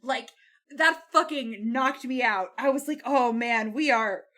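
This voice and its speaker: A woman's voice: on the high side, measured pace, sharp tone. A woman chatting casually and animatedly about a book, blunt, emphatic and freely swearing. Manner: blunt, profane, emphatic, acts out quotes colloquially. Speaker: podcast co-host.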